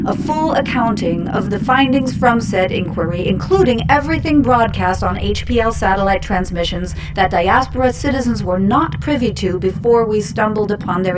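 An actress playing a defiant dissident leader, delivering a solemn, rhetorical political address in a radio drama. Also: female voice, on the high side, lightly acted. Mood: firm, demanding. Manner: solemn, rhetorical, deliberate declarations. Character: defiant female dissident leader making a grave public address. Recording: very noisy, with heavy background noise.

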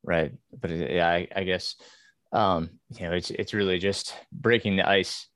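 A man's voice: deep voice, fairly even intonation, a little slow, a bit bright, no room sound. A man from New York hosting a casual panel talk, hesitant and laughing often. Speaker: podcast host.

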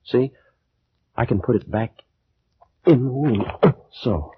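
An actor playing a proud, fervent ancient Egyptian scientist in theatrical 1940s radio drama style. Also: male voice, mid-range voice, takes it slowly, husky. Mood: solemn, persuasive. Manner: theatrical, declamatory. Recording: noisy tinny 1940s radio recording.

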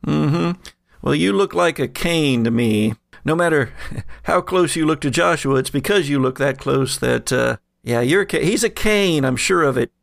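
A man's voice: a little high, moderate pace, gravelly, dry recording, studio quality, voice pushed up. A man voicing a wary middle-aged woman in a tabletop game, coy and knowing as she speaks. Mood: accusing, coy.

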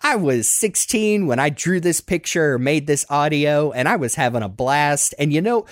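A man's voice: on the high side, normal speed, no room sound. Man chatting casually on a dry mic. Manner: animated, rambling, self-deprecating. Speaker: podcast host. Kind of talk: casual reminiscing chat.

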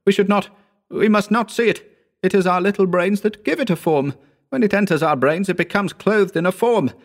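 A man voicing a hysterical, terrified man who gibbers and sobs in a shrill, quavering voice. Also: a little fast. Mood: gibbering. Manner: gibbering, frantic.

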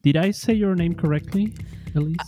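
A man in his forties hosting a podcast, speaking English as a second language, enthusiastic and halting with frequent restarts. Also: on the high side, mellow, soft background music. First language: not English. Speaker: podcast host. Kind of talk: casual interview chat.

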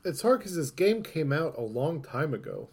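A man's voice: fairly high pitch, big pitch swings, moderate pace, breathy edge. A man co-hosting a gaming podcast in casual conversation, thinking aloud with some hesitation. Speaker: podcast co-host.

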